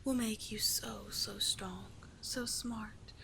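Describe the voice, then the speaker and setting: Woman acting a seductive sea witch, breathy whisper, hypnotic and coaxing, tenderly possessive, ASMR roleplay, clearly noisy ambience. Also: mid-range voice, fairly even intonation, takes it slowly.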